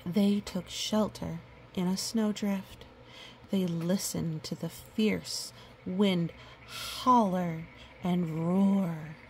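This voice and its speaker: A woman reading a picture book aloud to a young child, animated and repeating words for emphasis, with explanatory asides. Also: on the low side, drawn-out words, a little hiss or hum, poor audio.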